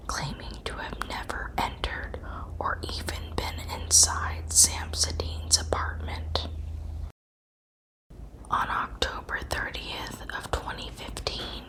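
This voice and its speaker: A woman narrates in a hushed ASMR whisper, taking her time with every phrase. Her delivery is measured and factual, like scripted true crime storytelling. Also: low-quality recording.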